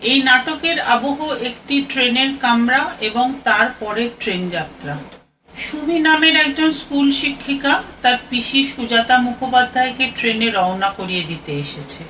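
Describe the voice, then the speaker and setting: A woman's voice: a little high, expressive, moderate pace, sharp tone. A woman narrating a scripted introduction to an audio play as its presenter. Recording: faint noise, slightly distant mic.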